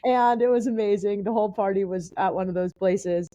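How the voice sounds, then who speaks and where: A chatty woman from San Diego tells stories as a podcast guest. Her voice is warm and mellow at a middle pitch, and it rambles along full of casual fillers. The recording is dry and close, with no room sound. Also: fairly quick, no room sound. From San Diego, California. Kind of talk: casual conversational storytelling.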